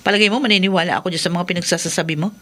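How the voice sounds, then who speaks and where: An actress playing a nagging, suspicious, exasperated wife in a Filipino radio drama, scolding sharply. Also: female voice, lowish voice, steady tone, very fast, a bit bright, natural voice.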